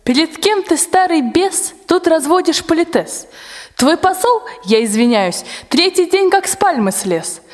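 A female performer playing a sharp-tongued, suspicious old nanny in a rhymed radio play, scolding in peasant speech. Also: very high-pitched, lively intonation, a little slow. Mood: scornful. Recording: long hall-like reverb.

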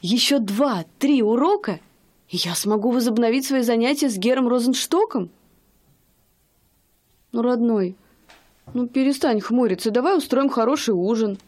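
An actress in a radio play voicing a young wife and music student, eager, affectionate and doting. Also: female voice, a little high, moderate pace, pauses often, darkish tone, natural voice. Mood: excited. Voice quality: gushing, affectionate.

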